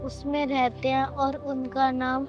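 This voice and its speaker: A woman's voice: sits high, monotone, slow pace. A female performer playing a poor, distressed schoolgirl who answers plaintively and respectfully in a short drama film. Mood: sad, pleading. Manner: plaintive, deferential. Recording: dull lo-fi sound under music.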